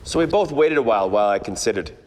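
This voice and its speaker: A man's voice: lowish voice, lively intonation, fast, slight background noise, lightly acted. Man acting a wisecracking noir detective, echoey hall. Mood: skeptical. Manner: wry, world-weary. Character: wisecracking hard-boiled 1940s male private detective. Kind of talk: 1940s radio noir parody, narration and dialogue. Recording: echoey stage or hall reverb.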